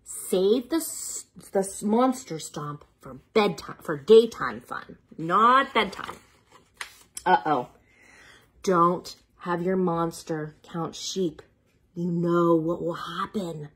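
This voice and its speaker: A woman reading picture books aloud to young children, playful and dramatic, pausing for chatty asides and questions to the listener. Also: fairly low pitch, breathy edge.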